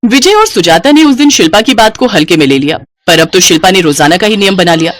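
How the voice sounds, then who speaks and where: A woman's voice: fairly low pitch, animated, fast. A woman reading scripted moral-story narration as the storyteller.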